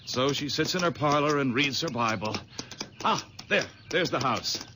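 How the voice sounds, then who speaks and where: A man's voice: mid-range voice, normal speed, close to an everyday voice. An actor playing an eager, friendly young man in a radio drama. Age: young adult. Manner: eager and animated. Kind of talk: 1970s radio drama acting. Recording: noisy old radio recording.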